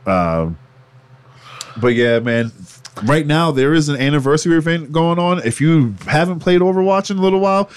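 A man co-hosting a casual esports podcast, cursing freely and laughing through animated banter. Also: on the high side, moderate pace, clean tone. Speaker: podcast co-host.

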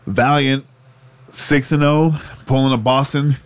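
A man co-hosting a casual esports podcast, cursing freely and laughing through animated banter. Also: mid-range voice, slow pace, clean tone. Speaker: podcast co-host.

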